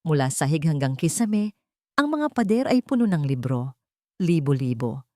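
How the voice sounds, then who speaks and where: A woman's voice: low voice, sing-song, normal speed, dark tone, dry recording, studio quality. A woman reading a scripted, dramatic story as its narrator.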